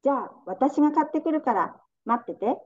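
A young woman acting a gentle girlfriend in a slow, clear radio drama for learners. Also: high-pitched, expressive. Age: young adult. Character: Kyoko, young woman leaving for Canada. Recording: dull lo-fi audio.

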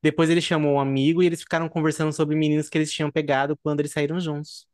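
Fast-talking Brazilian man, dry close sound. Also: on the high side, level tone, no echo. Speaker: podcast co-host. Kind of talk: casual chat, reading listener stories aloud.

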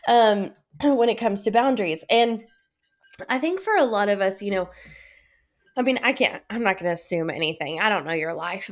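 Stuffy-voiced woman hosting a solo podcast. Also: lowish voice. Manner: warm, reflective, with fillers and restarts. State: head cold, stuffy. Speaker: podcast host. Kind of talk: solo podcast talk, encouraging.

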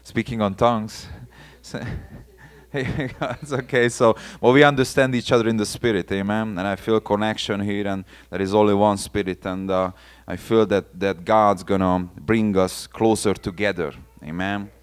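A man from Hungary with a strong Hungarian accent preaches his personal testimony to a congregation. His voice is low and deep, smooth and resonant, and he rises fervently with frequent calls of "amen.". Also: steady tone, moderate pace. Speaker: visiting missionary preacher. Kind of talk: testimony sermon to a church.

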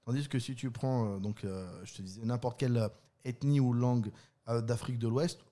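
A man's voice: mid-range voice, fairly quick. Man, casual podcast chat, stammering restarts, laughs a lot. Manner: animated storyteller, stammering restarts, laughs often. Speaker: podcast co-host.

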